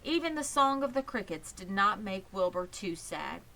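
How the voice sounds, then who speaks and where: A woman narrating a children's story in an expressive, measured way. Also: medium pitch. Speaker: audiobook reader.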